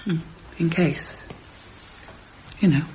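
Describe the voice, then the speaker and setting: Anxious, halting British landlady, hissy recording. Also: sits low, dark tone. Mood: anxious, grieving. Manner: halting, searching for words. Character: grieving, anxious landlady, hesitant but insistent. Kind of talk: BBC radio drama acting. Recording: dull, hissy radio broadcast recording.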